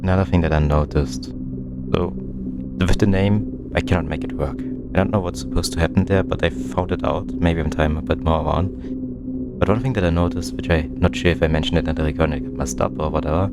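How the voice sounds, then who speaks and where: A man's voice: sits low, fairly even intonation, a little fast, heavy background noise. A man giving casual gaming commentary, muttering to himself as he puzzles things out. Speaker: game streamer, let's-player.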